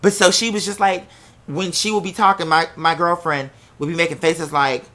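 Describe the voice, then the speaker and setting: A casual storyteller with an American accent and a bright, forceful voice at a middling pitch. The delivery is candid and animated, salted with swearing, like someone recounting personal drama to listeners. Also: moderate pace. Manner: acts out quoted remarks while telling.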